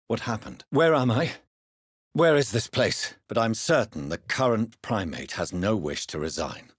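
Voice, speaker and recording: A brooding vampire doctor for a video game. He is a gentleman with a very low, husky voice that moves expressively. His speech is formal, grave and carefully articulated. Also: dry recording, clean studio sound, full character voice. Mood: stern, questioning. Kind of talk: dramatic video game dialogue.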